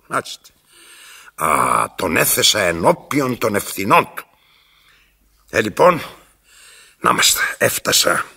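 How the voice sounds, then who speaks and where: An older man acting a bitter, weary old tyrant in a radio play, raspy and declamatory, speaking in short pronouncements. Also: mid-range voice, sharp tone, full character voice. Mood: resigned. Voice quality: raspy, gravelly. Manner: declamatory, self-narrating, broken into short pronouncements. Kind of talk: radio theatre acting, dramatic monologue. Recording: archival radio drama recording.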